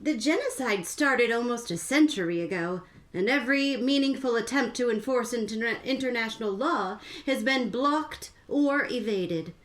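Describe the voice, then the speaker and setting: A woman playing an earnest young charity volunteer in a comic radio play, polite, measured and firm. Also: fairly high pitch, a bit bright.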